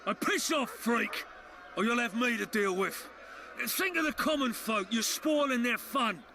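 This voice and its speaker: Gravelly high-voiced bullying guard. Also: male voice, lively intonation, a little slow, sharp tone, a little hiss or hum, exaggerated voice. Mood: contemptuous. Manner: barking, threatening. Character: coarse bullying male town guard, easily enraged.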